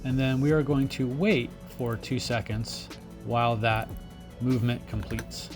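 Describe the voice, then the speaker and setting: A man's voice: mid-range voice, flat delivery, unhurried. Man giving a step-by-step tutorial over music. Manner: informal, talks through each step as he works. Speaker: tutorial instructor. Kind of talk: screen-recorded software tutorial, step-by-step explanation.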